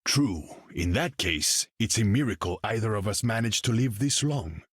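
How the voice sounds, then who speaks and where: A man's voice: on the low side, lively intonation, moderate pace, breathy edge, no echo, studio quality. An actor playing a proud, imperious undersea king in a video game, speaking in a pompous, formal way. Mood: proud, aloof. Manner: pompous, grandiose, formal speech. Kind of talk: video game character dialogue.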